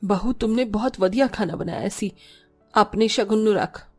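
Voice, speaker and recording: A woman's voice: mid-range voice, measured pace, faint music behind. A woman voicing a firm older mother-in-law in a cartoon, motherly and admonishing. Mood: approving.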